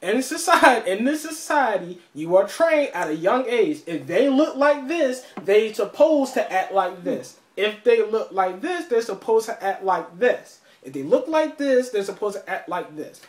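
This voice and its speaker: Animated Baltimore man in an echoey room. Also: sits high, measured pace, few pauses. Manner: animated, emphatic, acts out quoted people. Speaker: podcast co-host. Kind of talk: casual discussion.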